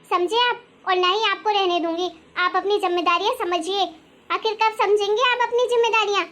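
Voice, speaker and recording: A woman's voice: very high voice, sharp tone. A woman voicing an angry, scolding young wife who argues sarcastically in a family drama story. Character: angry, sharp-tongued young wife demanding her share. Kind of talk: acted dialogue in Hindi family story.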